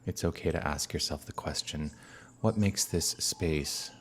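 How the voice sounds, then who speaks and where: Very deep-voiced man guiding meditation, birdsong behind. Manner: calm, unhurried, soothing, with long pauses. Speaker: minister leading guided meditation. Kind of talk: guided meditation. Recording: birdsong and outdoor ambient noise behind.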